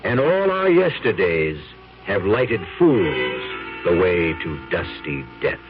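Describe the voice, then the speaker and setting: Man, deep voice, slow theatrical declamation, lively swings, muffled old radio broadcast, music underneath. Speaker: radio drama host. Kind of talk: dramatic Shakespearean recitation.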